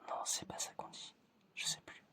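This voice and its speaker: A person whispering in a breathy, almost voiceless way in an ASMR roleplay as an examiner, halting and repeating short phrases. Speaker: ASMR creator playing an examiner giving a five senses test.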